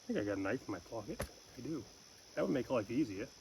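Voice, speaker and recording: A man's voice: mid-range voice, lively intonation, quick pace, background noise. A man chatting casually and explaining a hands-on job as he works, with dry, teasing banter. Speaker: amateur radio hobbyist hosting a homestead vlog. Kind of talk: casual chat and narrated how-to while working. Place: outdoors at a rural camp in woods.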